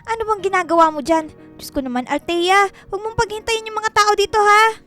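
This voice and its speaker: A woman's voice: very high-pitched, a little fast, a bit bright, soft background music. A woman playing a fussy, exasperated but caring mother in a radio drama, nagging and exclaiming. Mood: exasperated, concerned.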